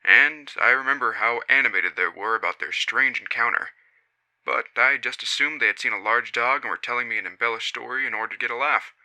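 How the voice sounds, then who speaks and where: A man reading as a skeptical witness, very bright voice. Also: medium pitch, low-quality recording. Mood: puzzled. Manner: measured storytelling, puzzled and skeptical. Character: man recounting his friends' strange roadside encounter. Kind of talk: first-person reading of a witness account.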